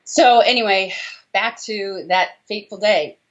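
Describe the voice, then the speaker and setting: A woman's voice: medium pitch, unhurried. An American woman in her forties explaining things emphatically and conversationally as an interview guest on a video call. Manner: emphatic, animated explaining with rhetorical tag questions. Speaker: doctor, interview guest, author. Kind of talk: conversational interview answers on health. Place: small room indoors, on a video call. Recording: dull video-call audio.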